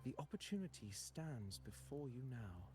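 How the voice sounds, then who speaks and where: An actor voicing a courteous, cryptic poet storyteller in a video game, speaking in a measured, formal, poetic way. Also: male voice, medium pitch, very animated, natural voice. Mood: solemn, cryptic. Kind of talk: scripted video game character dialogue. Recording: game music under the voice. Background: game background music.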